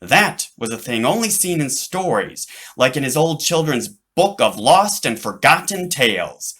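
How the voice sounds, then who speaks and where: Bright-voiced man narrating a children's story. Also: mid-range voice, sing-song, measured pace, slightly rough. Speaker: author reading own book.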